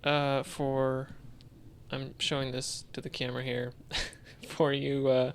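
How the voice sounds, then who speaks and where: A man's voice: medium pitch, monotone, takes it slowly, slight background noise. A man talking casually as a podcast co-host. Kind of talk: casual chat.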